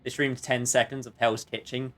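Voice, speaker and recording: A man's voice: medium pitch, flat delivery, normal speed, a bit bright. A man livestreaming a game with casual, rambling commentary full of jokey asides.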